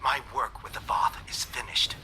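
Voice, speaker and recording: Solemn, bright-voiced alien scientist. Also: male voice, moderate pace, a little hiss or hum, low-quality recording. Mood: grave, resolute. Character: earnest alien scientist recording a grave final message. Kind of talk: dramatic monologue in a video game.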